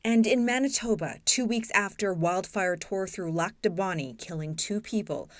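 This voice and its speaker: A woman's voice: mid-range voice, normal speed, no echo. A woman voicing a scripted television news report.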